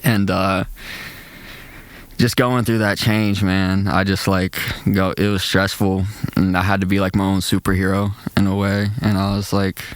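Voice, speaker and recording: A man's voice: low-pitched, flat delivery, normal speed, no echo. A young man, a rapper and producer, with a nasal voice, talking loosely and casually in slang as a podcast guest, full of "like" and "man", into a close mic. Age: young adult. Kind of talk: casual interview chat.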